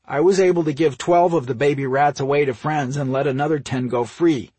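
Man voicing an exasperated, husky little brother. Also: a little high, steady tone, brisk, dark tone, dry recording, pitched above the performer's own voice. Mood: arguing. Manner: grumbling, emphatic, exasperated. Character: exasperated, grumbling adult younger brother.

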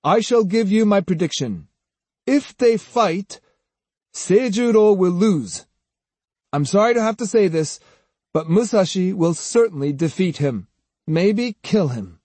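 A man acts out an arrogant young swordsman in a dramatised story. The voice sits high and swings widely, with a husky grain. He is pompous and sneering, leaning hard on his points. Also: expressive, normal speed, mellow, no echo. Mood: grand, smug. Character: arrogant, grandly boastful, snide young male swordsman.

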